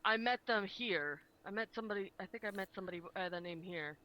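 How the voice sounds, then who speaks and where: A man's voice: sits high, fast talker, dull audio, crowd noise. A man improvising roleplay dialogue in a hesitant, stammering way over online voice chat. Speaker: roleplay participant. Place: online voice chat in a virtual world.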